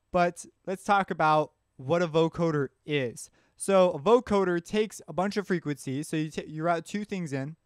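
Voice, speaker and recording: A man's voice: on the high side, smooth, no echo, studio quality. A man giving a casual, informal tutorial, explaining things as he thinks aloud. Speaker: music production tutorial instructor. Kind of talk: casual explanatory screencast narration.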